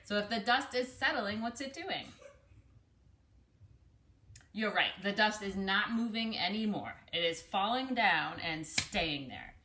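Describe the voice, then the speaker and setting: A woman with a bright, forceful voice reads to children in a highly animated, unhurried way. She teaches as she goes, stopping to explain and to ask the listener questions. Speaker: speech-language pathologist reading aloud to children. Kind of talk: expressive picture-book read-aloud with vocabulary teaching.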